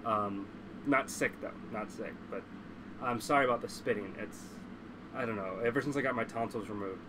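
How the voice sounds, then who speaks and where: A man's voice: on the low side, moderate pace. Congested man livestreaming over background noise. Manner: blunt, profane, opinionated. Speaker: livestream host. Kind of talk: casual livestream chat.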